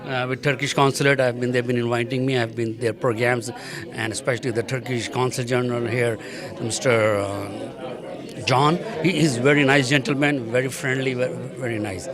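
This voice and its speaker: A man's voice: medium pitch, fairly even intonation, moderate pace. A man, a community organizer interviewed at an event, speaking hesitantly and repeating words. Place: consulate reception hall. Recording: crowd chatter at an event.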